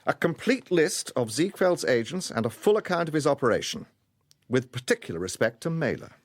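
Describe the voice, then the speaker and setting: An actor playing an urbane gentleman police detective in a radio drama, with a British accent and a courteous, probing, dryly amused manner. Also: fairly high pitch, big pitch swings, fairly quick, dry recording, clean studio sound. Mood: cool, confrontational.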